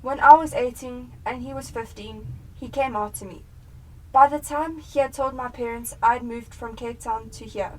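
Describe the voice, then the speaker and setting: A woman's voice: on the high side, fairly even intonation, moderate pace, natural voice. A young South African woman playing an earnest, emotionally invested police detective in a radio drama. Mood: troubled, confiding. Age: young adult. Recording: distant mic, noisy room ambience.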